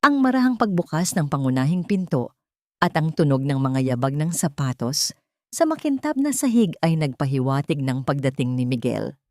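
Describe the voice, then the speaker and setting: Low-voiced woman telling a dark story. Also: dry recording, clean studio sound. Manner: calm, measured, solemn. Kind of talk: scripted dramatic audio story narration.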